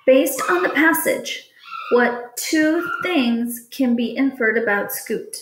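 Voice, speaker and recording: A woman with a fairly high voice reads test questions aloud as a teacher would. Her delivery is very slow, deliberate and instructional. The room around her adds a clear echo. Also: animated, background noise. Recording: echoey room.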